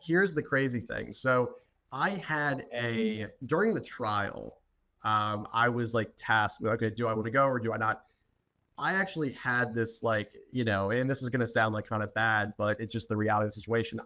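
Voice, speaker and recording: A man's voice: medium pitch, fairly quick, pushed and bright. A youngish man from the United States, speaking informally as a podcast guest and telling his own story, often restarting and repeating words. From United States, Philadelphia. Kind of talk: personal storytelling in a podcast interview.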